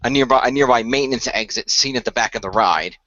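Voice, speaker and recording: A man's voice: medium pitch, fast, sharp tone, dry recording, muffled recording. A man improvising narration and in-character lines for a hobby radio play.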